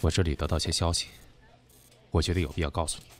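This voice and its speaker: A man's voice: sits low, level tone, fast, a bit bright. A man acting an insinuating, worldly senior businessman in an audio drama, with a knowing, needling manner. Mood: knowing, confiding.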